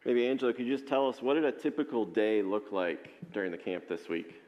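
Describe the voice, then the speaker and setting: An easygoing man hosts a casual panel and keeps it upbeat. His voice carries a long reverb from a big hall. It sits at an ordinary middle pitch. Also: lively intonation, brisk, a little mellow, lo-fi sound. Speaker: host leading a coaches' panel. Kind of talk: casual group interview.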